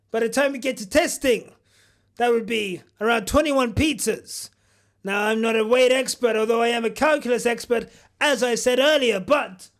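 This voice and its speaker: A man hosting a parody news segment, delivering it in a mock-pompous, boastful way with jokey asides. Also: extremely high pitch. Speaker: comedic news host.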